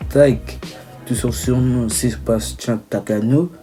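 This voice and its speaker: Young male vlogger, mid-pitched and mellow, casual teasing delivery with stumbles and self-corrections. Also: fairly even intonation, slow pace, soft background music. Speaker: video creator. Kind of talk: casual humorous commentary.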